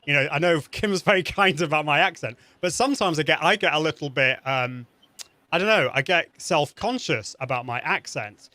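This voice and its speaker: A British man speaks as an interview guest, his voice sitting a little high with a bright, forceful edge. He talks in an earnest, encouraging way and often restarts mid-sentence. The sound is dry and close, with little room around it. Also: moderate pace, dry recording. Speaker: guest expert. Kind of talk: casual interview advice.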